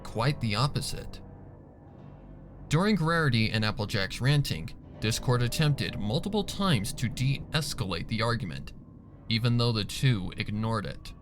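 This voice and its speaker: A man narrates fan fiction in a dramatic, scripted style. His medium-pitched voice moves expressively up and down. Music plays softly behind him. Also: big pitch swings, measured pace. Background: background music.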